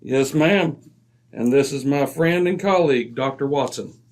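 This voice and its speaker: A man playing a composed, observant British gentleman detective, crisp, dry and a little superior, in an old-time radio drama. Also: medium pitch, measured pace, close to an everyday voice.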